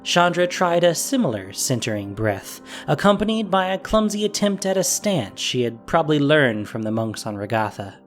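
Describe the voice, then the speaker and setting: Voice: medium pitch, big pitch swings, normal speed, few pauses, faint music behind. A narrator reading a scripted fantasy story in a dramatic audio drama style.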